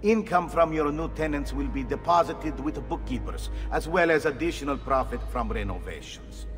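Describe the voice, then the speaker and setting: A man acts a formal guild go-between in a game, explaining courteously. His voice is fairly high for a man, carried in long reverb with faint music underneath. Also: lively intonation, moderate pace, lightly acted. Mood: courteous, businesslike. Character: formal, obliging agent of an underground guild network. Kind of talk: scripted explanation in a video game.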